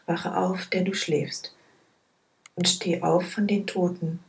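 A woman reading Bible passages aloud in a soft, hushed, measured way. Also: fairly low pitch, steady tone, creaky, rough recording. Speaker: scripture reader. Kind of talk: slow, quiet Bible reading.

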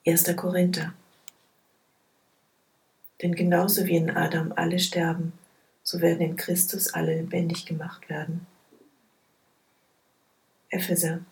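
A woman reading Bible passages aloud in a soft, hushed, measured way. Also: fairly low pitch, monotone, gravelly, rough recording. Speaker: scripture reader. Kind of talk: slow, quiet Bible reading.

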